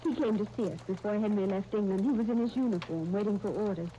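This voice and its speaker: Actress as an earnest young niece, muffled old radio. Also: female voice, medium pitch, fast, mellow, natural voice. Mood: eager. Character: earnest, encouraging young niece, Sunday, in wartime England. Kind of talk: 1930s radio serial acting. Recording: muffled, noisy 1939 radio transcription disc.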